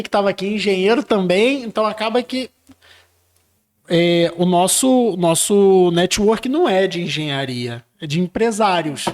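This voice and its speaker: Podcast host guy, really high voice for a man, chatty, throws in quick replies. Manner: chatty, short interjections. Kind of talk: casual podcast conversation.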